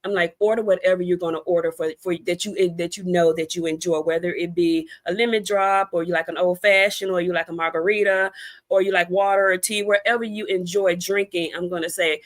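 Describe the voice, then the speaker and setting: Low-pitched American woman chatting casually. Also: steady tone, fairly quick. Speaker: interview guest, author and cigar expert. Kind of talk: casual conversational interview talk.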